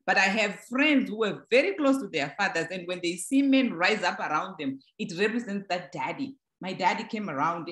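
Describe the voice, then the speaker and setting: A woman's voice: lowish voice, a bit bright. Woman moderating, echoey room. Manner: reflective, conversational teaching. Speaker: discussion moderator. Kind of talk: church panel discussion.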